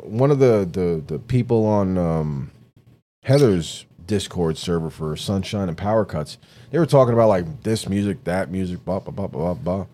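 A man's voice: sits low, lively intonation, moderate pace, warm tone. A man with a New York accent, co-hosting a podcast in casual, profane, rambling chat. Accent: New York, Bronx-tinged. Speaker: podcast co-host.

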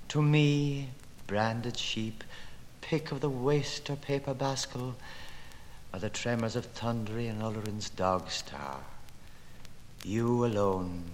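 Theatrical male lyrical narrator of modernist prose, incantatory and declamatory, on a reverberant, faintly noisy old recording. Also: on the low side. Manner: declamatory, incantatory, dramatic swells and hushed endings. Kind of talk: theatrical literary recitation from a record.

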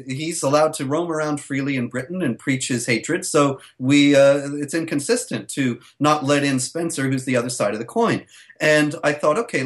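A man with a mid-range voice speaks as an interview guest. He explains his points steadily and at length, pausing often on small fillers. His delivery stays even and matter-of-fact. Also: mid-range voice. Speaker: guest, author. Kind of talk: interview answers.

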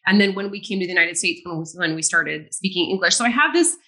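A woman's voice: on the low side, fast, bright, forceful tone. A woman who grew up in France with French as her first language, talking earnestly and reflectively as an interview guest, laughing now and then. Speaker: guest, psychic. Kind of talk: casual interview conversation.

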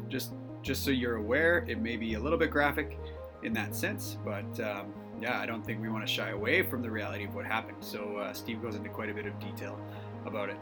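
A man hosting a casual faith podcast, talking in a relaxed, friendly way with plenty of fillers. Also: lowish voice. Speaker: podcast host.

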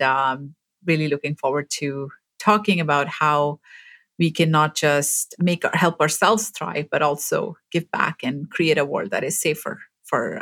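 A woman in her forties from Pakistan, raised in Abu Dhabi, telling her story as an interview guest in an earnest, measured way with hesitant fillers. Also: low-pitched, fairly bright tone. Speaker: guest, author and medical student.

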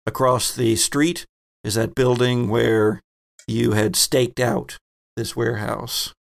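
A man's voice: medium pitch, flat delivery, slow, vocal fry. A man narrating and refereeing a tabletop role-playing game as game master.

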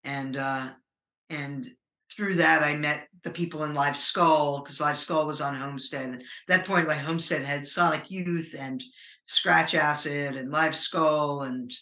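A middle-aged American woman with a low voice, a musician talking casually in an interview, rambling and hesitant with many fillers. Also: fairly even intonation, measured pace, pushed and bright, reverberant. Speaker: musician guest.

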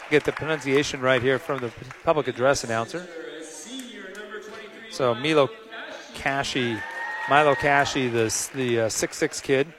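A middle-aged American man, slightly hoarse, calling a pregame basketball broadcast in a rambling way, full of "uh" fillers and restarts. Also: mid-range voice. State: a little hoarse. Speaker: play-by-play announcer. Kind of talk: pregame sports broadcast commentary. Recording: music in the background.

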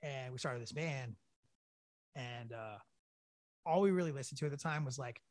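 A man's voice: medium pitch, normal speed, no echo, studio quality. Laid-back, swearing young man. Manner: laid-back, profane, rambling with restarts. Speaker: band singer, guest. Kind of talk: casual interview chat.

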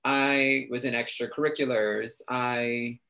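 A talkative young man, speaking at an ordinary middle pitch. He chats loosely as an interview guest, piling on fillers and quick asides. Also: steady tone, creaky, sharp tone. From New York City. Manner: talkative, chatty with frequent fillers. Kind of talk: casual interview chat.

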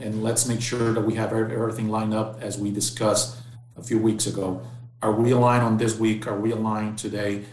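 A man's voice: lowish voice, reverberant. A man from Mexico, a factory site manager explaining things earnestly in an interview, often repeating words as he restarts. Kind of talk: casual interview conversation.

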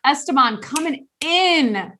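A woman hosting a casual podcast chat, talking in an animated, rambling way with many restarts. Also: high voice, slow, slight background noise. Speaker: podcast host.

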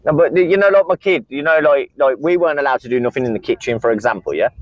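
A man's voice: medium pitch, animated, fast, muffled recording. A man, calling in to a talk show and rambling with many fillers and repeated words. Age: 30s. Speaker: caller. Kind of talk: casual call-in chat.

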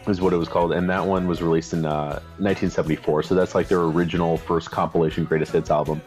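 A man's voice: low-pitched, little pitch movement, fast, darkish tone, faint music behind. A man co-hosting a casual podcast while sick, coughing and losing his voice, talking in a laid-back, hesitant way. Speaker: podcast co-host. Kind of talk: casual chat.

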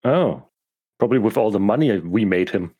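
A man's voice: lowish voice, lively intonation, moderate pace, husky, lo-fi sound. A man playing a tabletop game, speaking casually. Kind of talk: casual banter.

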